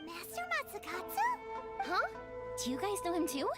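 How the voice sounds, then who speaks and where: Bubbly, high-pitched young shopkeeper girl. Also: big pitch swings, moderate pace. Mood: eager. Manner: giggly, bubbly, exclaiming. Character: cheerful, excitable young woman running a fireworks shop. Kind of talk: anime-style video game voice acting. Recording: game music behind the voice.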